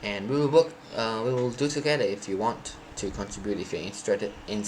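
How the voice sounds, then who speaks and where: A young man, a native Thai speaker, chatting loosely to his live stream viewers, often halting and restarting as he searches for words. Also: fairly low pitch, background noise. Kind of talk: casual stream chat to viewers.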